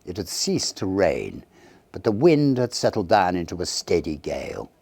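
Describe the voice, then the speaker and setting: A man giving a theatrical, measured narration of a Victorian ghost story, with dramatic pauses. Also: sits low, huge pitch swings, creaky, darkish tone, husky, no room sound. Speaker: audiobook narrator.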